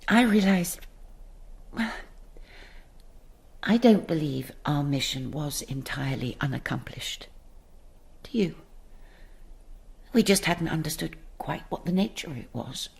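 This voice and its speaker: A prim spinster. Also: female voice, low voice, lively intonation, measured pace, creaky, long pauses, darkish tone, slightly rough, full character voice. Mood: tender. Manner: prim, formal, precise diction. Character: Rose Sayre, prim English spinster, missionary's sister.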